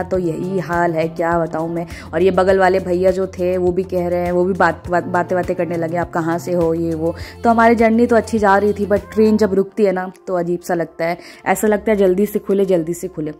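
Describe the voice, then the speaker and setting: A woman's voice: fairly low pitch, level tone, moderate pace, few pauses, darkish tone. Chatty young woman vlogging over music. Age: 20s. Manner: chatty, informal, addressing viewers. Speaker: travel vlogger. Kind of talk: casual vlog narration. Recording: music under the voice. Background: background music.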